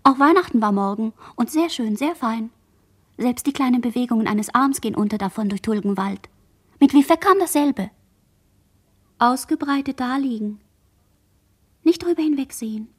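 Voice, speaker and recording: A woman's voice: fairly high pitch, normal speed, pauses often, husky. A woman playing a dazed young girl who murmurs a fragmented, incantatory inner monologue in a literary radio play. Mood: fragmented, musing.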